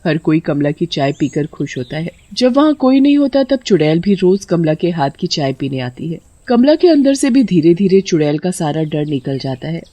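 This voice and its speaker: A woman's voice: low-pitched, dark tone, no room sound. A woman narrating a scripted story as its storyteller.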